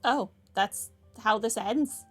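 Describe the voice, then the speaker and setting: A woman's voice: a little high, takes it slowly, a bit bright. A woman giving casual, offhand commentary as she plays through a video game. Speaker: gaming streamer. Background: game music.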